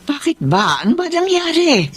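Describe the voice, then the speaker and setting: A woman's voice: sits high, huge pitch swings, normal speed, over music. An actress playing a worried, admonishing mother of grown daughters in a radio drama, concerned and moralizing. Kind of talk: Filipino radio drama acting.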